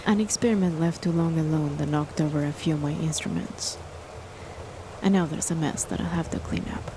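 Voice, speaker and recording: A woman playing a controlling, sinister chemist who is the listener's partner, in an ASMR horror roleplay, talking softly in a half-whisper, politely but with quiet threat. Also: deep voice, brisk. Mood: courteous. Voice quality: soft, whispery delivery. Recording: steady rain noise behind the voice. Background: rain.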